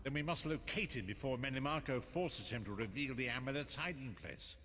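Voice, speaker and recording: A man's voice: medium pitch, fairly bright tone, natural voice. Man playing a solemn old prophet, cavernous reverb. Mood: pondering. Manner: measured, formal, cryptic. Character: solemn, cryptic elderly male prophet and mystic. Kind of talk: fantasy video game acting. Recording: long cavernous reverb with background noise.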